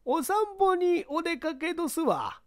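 An elderly Kyoto innkeeper woman, speaks high and slowly. Her drawn-out, singsong politeness is evasive, with a storybook lilt. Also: sing-song, full character voice. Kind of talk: audiobook character acting.

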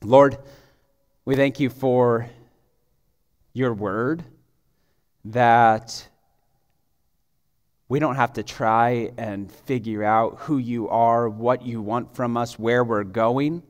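A pastor in his thirties preaches from a large church stage, voice at an ordinary middle pitch. He starts in a hushed prayer and builds to urgent, emphatic storytelling. The sound carries a long reverb tail from the big hall. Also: steady tone, drawn-out words, pauses often. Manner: prays softly, then preaches with rising, emphatic intensity. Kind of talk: sermon.

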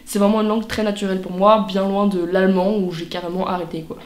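A woman's voice: medium pitch, level tone, fast, roomy sound. A teenage girl from France vlogging casually to camera in her bedroom, chatty with lots of fillers and restarts. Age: teens. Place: bedroom, indoors.

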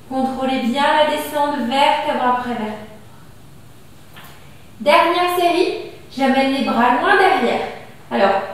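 A French woman in her thirties leading a gentle exercise class, calmly cueing each breath and movement as she does the exercise on a mat. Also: sits high. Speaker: fitness instructor. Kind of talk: guided exercise class instruction. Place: small gym studio with wall bars, indoors. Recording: echoey room from far off.

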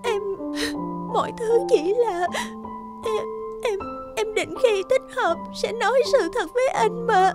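A very high-pitched, timid young cartoon woman. She is polite, earnest and hesitant, with music clearly behind her. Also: slow pace. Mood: apologetic, pleading. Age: young adult. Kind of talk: Vietnamese cartoon dialogue.

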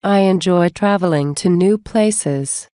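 Woman reading english practice lines real slow, dark mellow voice, dry close sound. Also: lowish voice, no room sound. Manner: measured, repeats each phrase slowly. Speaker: English teacher reading example phrases. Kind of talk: slow scripted phrase reading.

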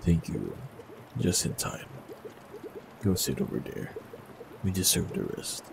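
Man, very low gravelly voice, calm and gentle warlock read, lively pitch, noticeable hiss. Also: measured pace. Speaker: warlock in an audio roleplay. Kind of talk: scripted audio roleplay, speaking to the listener.

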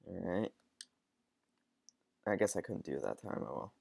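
A sniffly young man with a cold, rambling through casual game commentary with frequent ums and restarts. His voice sits a bit low and sounds close and dry. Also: animated, normal speed, vocal fry, a little mellow, husky, no room sound. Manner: rambling, hesitant, lots of ums. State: stuffy with a cold. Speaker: gaming channel host. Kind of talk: casual let's-play commentary.

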